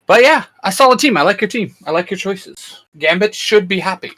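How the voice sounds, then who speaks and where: A man's voice: high voice, animated, brisk, sharp tone. A man co-hosting a casual chat, thinking aloud in a tentative way. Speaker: co-host.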